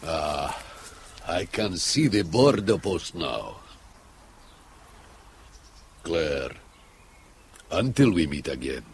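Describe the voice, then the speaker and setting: An actor playing a grave, courtly Cold War spy in an audio drama, speaking in a measured way. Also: male voice, deep voice, big pitch swings, heavily acted. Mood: wistful farewell. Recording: faint background noise.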